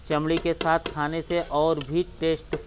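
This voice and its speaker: A man's voice: on the high side, level tone, unhurried, muffled recording, noisy background. A man hosting a cooking channel, walking through each step of a recipe outdoors beside a clay stove. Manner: explains each step, points things out.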